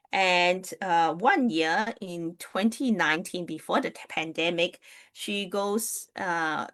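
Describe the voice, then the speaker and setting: A woman's voice: on the low side, fairly bright tone. A woman from New Zealand chatting casually and digressively on a live stream, greeting her viewers as she goes.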